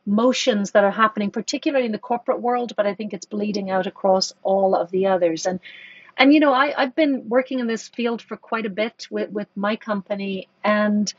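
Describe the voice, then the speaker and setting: A woman's voice: mid-range voice, fairly quick, breathy edge, dry recording, highs cut off. A woman from Ireland, a corporate executive talking thoughtfully as a podcast guest, with many restarts. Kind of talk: conversational podcast interview.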